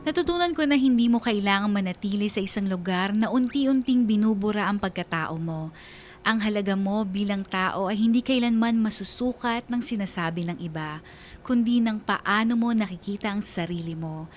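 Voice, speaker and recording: A woman playing a reflective letter sender, narrating a scripted life story earnestly and with measured delivery in a Filipino accent. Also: mid-range voice, natural voice. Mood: resolute.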